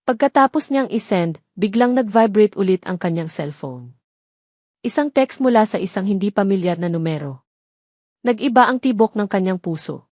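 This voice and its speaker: A woman's voice: mid-range voice, animated, moderate pace, darkish tone, dry recording. Dry-sounding Filipino woman narrating drama. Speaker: audio story narrator. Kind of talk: dramatic scripted narration of a romance story.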